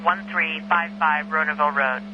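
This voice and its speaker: A man's voice: a little high, fairly even intonation, moderate pace, muffled recording. A man working as an emergency dispatcher over two-way radio, reading back codes and numbers in clipped, procedural phrases. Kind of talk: police and fire radio dispatch. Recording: noisy police scanner radio, humming.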